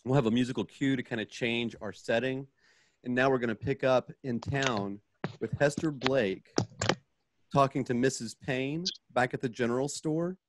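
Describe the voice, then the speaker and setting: A man's voice: medium pitch, moderate pace, background noise. A man narrating stage directions for a radio play in a casual, explanatory style.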